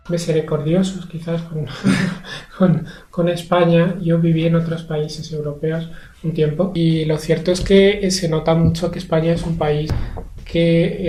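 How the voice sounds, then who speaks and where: A young man from Spain answers interview questions in a measured, hesitant way, pausing often and using fillers. His voice has a mellow, dark tone. The sound bounces around an echoey room. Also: a little high. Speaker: interview guest. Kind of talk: thoughtful conversational interview.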